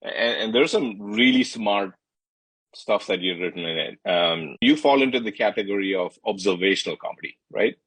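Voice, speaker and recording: A male interviewer with a low, husky voice asks loose, winding questions and stops to search for words. The sound is close and dry, with no room around it. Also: moderate pace, a bit bright, no echo, low-quality recording. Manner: asks long rambling questions with hesitations. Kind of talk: casual interview chat.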